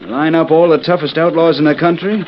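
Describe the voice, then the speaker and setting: A man's voice: on the high side, steady tone, brisk, lightly acted. Man playing a folksy, nosy frontier traveler on muffled radio. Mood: folksy, probing. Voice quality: Western drawl, rustic speech. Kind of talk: old-time radio western acting. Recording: muffled, hissy old radio broadcast.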